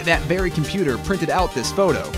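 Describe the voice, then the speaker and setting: A man's voice: a little high, expressive, a little fast, lightly acted. A man playing an earnest, persistent young defense lawyer in an amateur fan dub of a game, questioning pointedly. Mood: reasoning. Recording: music playing behind the voice.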